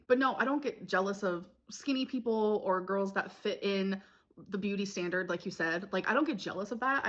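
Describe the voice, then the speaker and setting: A woman's voice: mid-range voice, a bit bright. A woman with a mid-range voice answers viewer questions in a loose, casual way, filling her speech with filler words. The sound is dull and lo-fi, as if played from a clip. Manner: chatty, many filler "like"s. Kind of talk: casual chat.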